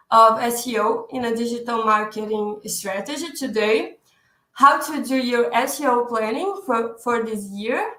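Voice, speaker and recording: A woman's voice: on the high side, fairly bright tone. A Brazilian woman in her twenties with a Brazilian Portuguese accent, presenting a webinar with care and often searching for words. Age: 20s. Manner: careful, searching for words, often repeating herself. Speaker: content marketing specialist presenting a webinar. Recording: echoey room.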